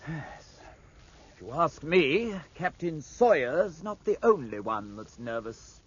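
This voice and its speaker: An actor playing an insinuating, melodramatic ship's surgeon with a husky voice in a 1950s radio drama. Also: male voice, on the high side, very animated, slow, background noise. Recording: dull old radio recording.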